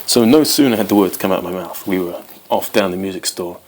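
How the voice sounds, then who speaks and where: A man's voice: low-pitched, fast talker, darkish tone, a little hiss or hum. A man in his twenties, born in Wales, chatting casually to camera as host of his guitar channel, rambling with many ums. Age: 20s.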